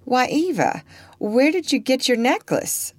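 A woman's voice: high voice, big pitch swings, unhurried, no room sound, pitched above the performer's own voice, heavily acted. A woman voicing a strict, prim middle-aged aunt who scolds and exclaims as she reads a storybook aloud. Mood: puzzled. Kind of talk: storybook reading.

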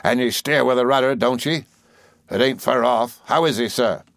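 A man's voice: mid-range voice, moderate pace, creaky, no room sound, studio quality, pitched above the performer's own voice, lightly acted. Man acting a hearty rustic old fisherman. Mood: jovial. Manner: exclamatory, admiring, folksy. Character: hearty, fond, rustic older English fisherman.

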